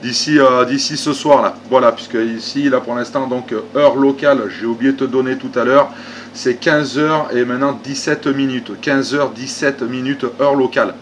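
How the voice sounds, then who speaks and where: A man's voice: mid-range voice, steady tone, moderate pace, a little hiss or hum. A man talking casually as an amateur radio operator, in a polite, repetitive and hesitant way. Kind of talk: casual ham radio conversation.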